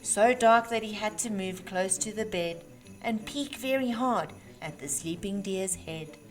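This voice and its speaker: Slow-paced woman reading a children's storybook. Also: medium pitch. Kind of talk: expressive read-aloud of rhyming Christmas storybook. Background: music.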